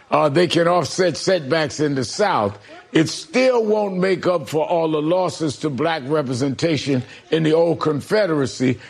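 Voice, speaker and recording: A middle-aged or older man hosting a political interview, speaking haltingly with a gravelly, husky voice and repeated words. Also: fairly high pitch. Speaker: political talk show host. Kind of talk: broadcast news interview.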